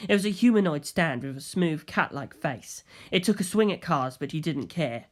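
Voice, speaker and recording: A narrator reading a fantasy story aloud in a scripted storytelling style, at a medium pitch. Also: animated, normal speed. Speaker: audiobook narrator.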